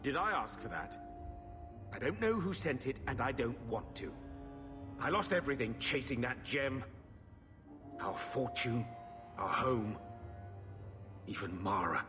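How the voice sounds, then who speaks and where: An actor playing a gruff, bitter older man in a fantasy game, curt and irritable. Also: medium pitch, animated, unhurried, pauses often, natural voice. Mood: bitter, snapping. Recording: long reverb, music behind.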